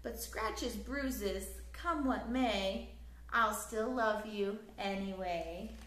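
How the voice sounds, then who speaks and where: A woman in her thirties reading a picture book aloud to young children in an expressive, sing-song way, recorded in a living room. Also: mid-range voice, very slow, reverberant. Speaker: children's story time host. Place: small living room, indoors on a couch.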